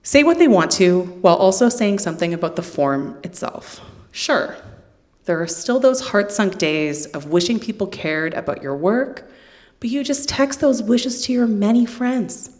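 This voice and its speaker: A middle-aged woman giving a live literary reading in a hall, with deadpan, wry humour and casual asides to the listeners. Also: medium pitch, normal speed. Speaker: poet reading from own book.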